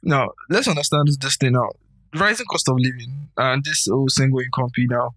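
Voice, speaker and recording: A man's voice: medium pitch, fairly quick, a bit bright, no room sound. A young Nigerian man with a Nigerian English accent, co-hosting a podcast in casual, boastful, teasing banter. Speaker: podcast co-host.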